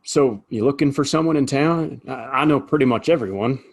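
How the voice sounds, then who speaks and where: A man's voice: mid-range voice, brisk, natural voice. A man playing a decent, apologetic saloon bartender in an Old West audio drama, speaking earnestly with small stammers. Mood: friendly, apologetic. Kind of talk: Western audio drama acting.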